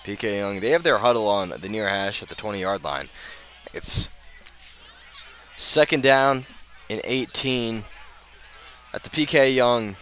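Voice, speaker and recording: A man calling live American football play-by-play for radio, moving between measured narration and raised, excited calls. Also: fairly low pitch. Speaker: play-by-play sports commentator. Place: outdoors at a high school football field. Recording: noisy outdoor stadium, live broadcast.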